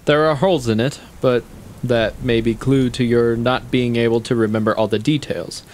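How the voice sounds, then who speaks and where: An actor playing a sceptical, composed confidant with formal, measured questioning in a stage play. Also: male voice, medium pitch, fairly even intonation, a little mellow, slight background noise, lightly acted. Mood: sceptical, reasoning. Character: sceptical, probing, morally composed male confidant.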